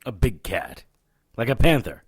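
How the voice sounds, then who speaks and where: An actor playing a cocky, world-weary supernatural detective in a noir audio drama, sardonic and flippant. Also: male voice, fairly low pitch, very animated, unhurried, breathy edge, no echo, heavily acted. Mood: breezy, cocky.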